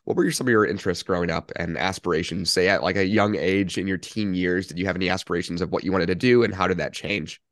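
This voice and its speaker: A man hosting a podcast, interviewing a guest briskly with short affirming replies. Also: low-pitched, a bit bright. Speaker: podcast host.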